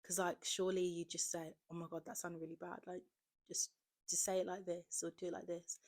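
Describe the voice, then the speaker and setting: A woman's voice: lowish voice, level tone, brisk, darkish tone. A woman, talking candidly in a casual confessional monologue, often saying "like". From Birmingham area, England. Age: 20s. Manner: candid, conversational, heavy use of "like". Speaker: podcast host.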